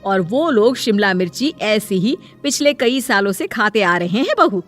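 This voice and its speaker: A woman's voice: mid-range voice, sing-song, normal speed, a bit bright, soft background music. A woman playing a proud, domineering middle-aged mother-in-law who scolds pointedly in a cartoon family drama. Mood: scolding.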